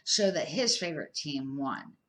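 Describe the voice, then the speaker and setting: A woman's voice: deep voice, expressive, normal speed. Older woman reading as an earnest food truck owner, emphatic. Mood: persuasive. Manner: explanatory, emphatic.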